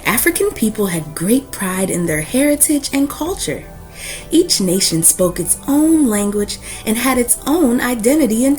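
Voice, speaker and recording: A woman reading a children's book aloud as host, with deliberate, emphatic phrasing and an expressive storytelling delivery. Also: mid-range voice.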